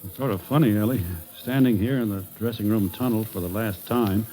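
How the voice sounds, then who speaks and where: A man playing a shy, halting ballplayer. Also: on the low side, warm tone, close to an everyday voice, low-quality recording. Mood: tender. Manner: halting, bashful. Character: humble, shy ballplayer husband, gentle and halting. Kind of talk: 1940s radio acting.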